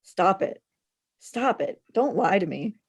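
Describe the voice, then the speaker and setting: A needling teenage friend voiced by a woman at a table read, with a middle-pitched voice. The delivery is teasing and pressing, in the plain manner of a script reading. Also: animated, takes it slowly, breathy edge, full character voice. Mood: insistent.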